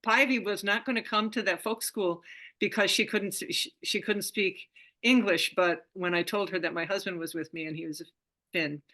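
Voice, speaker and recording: A woman's voice: medium pitch, steady tone, normal speed, bright, forceful tone. An older woman speaking on a video call from home as a guest presenter, chatty and rambling, often restarting her sentences.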